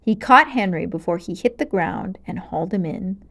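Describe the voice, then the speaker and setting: A woman narrating a children's storybook aloud in an expressive, dramatic and clearly paced way. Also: fairly low pitch, moderate pace.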